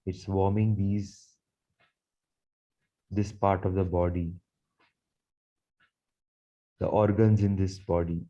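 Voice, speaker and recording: Slow, low, mellow man guiding meditation. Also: little pitch movement, muffled recording. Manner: soothing, measured, repetitive phrasing. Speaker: guided meditation leader, Reiki teacher. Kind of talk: guided meditation narration.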